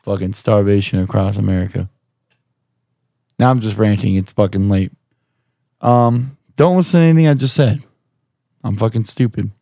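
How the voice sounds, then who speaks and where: A young man hosts a podcast with a hoarse, slightly low and warm voice. He rambles and swears, making jokes as he goes. The sound is dry and close. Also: normal speed, pauses often, dry recording. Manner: rambling, profane, jokey. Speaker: podcast host. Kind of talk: casual chat.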